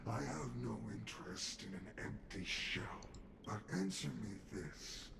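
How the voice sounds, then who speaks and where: An actor playing a cold, contemptuous killer in a video game, speaking with disdainful menace. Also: male voice, fairly low pitch, sing-song, full character voice. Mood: cold contempt. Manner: slow, disdainful, sighing. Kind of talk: dramatic game voice acting. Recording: echoing space with clear background noise.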